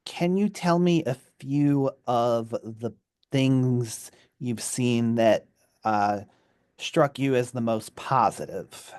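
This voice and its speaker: Guy hosting a college radio show, slow careful questions, dead dry sound. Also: mid-range voice, darkish tone, smooth, no room sound. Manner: halting questions with restarts. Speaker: radio host. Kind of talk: interviewing a guest. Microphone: studio mic.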